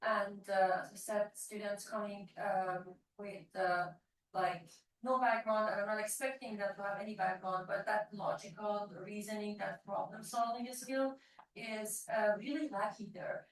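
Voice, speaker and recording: A woman's voice: mid-range voice, fairly even intonation, unhurried. A woman from Iran with an Iranian accent, a programming professor on a panel, answering with many hesitant fillers. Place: large event hall. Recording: echoey hall, voice from far off.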